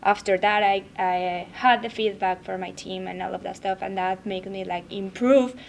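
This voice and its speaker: A woman from Mexico speaks English with a Mexican Spanish accent, halting and often restarting her words. Her voice sits in a middle pitch. Faint noise sits behind her. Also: fairly even intonation, creaky. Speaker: fitness coach. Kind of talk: roundtable chat.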